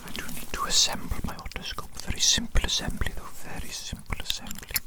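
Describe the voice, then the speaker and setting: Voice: fast, close to an everyday voice. An ASMR performer voicing an eccentric evil doctor who dotes on a tiny creation, muttering and repeating phrases theatrically at a close microphone. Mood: doting, busy. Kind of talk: whispered ASMR medical roleplay.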